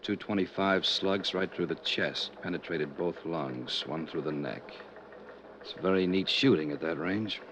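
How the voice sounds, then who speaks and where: An old radio recording with faint noise carries a gruff American police lieutenant. The voice is very low, and the delivery blunt and businesslike, in 1950s crime drama style. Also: male voice, unhurried. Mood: matter-of-fact. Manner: blunt, matter-of-fact.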